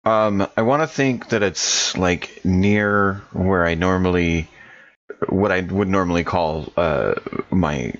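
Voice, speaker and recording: A man playing a tabletop game, speaking in character with hesitant, halting delivery and many fillers. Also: sits low, muffled recording. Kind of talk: in-character roleplay with out-of-character asides.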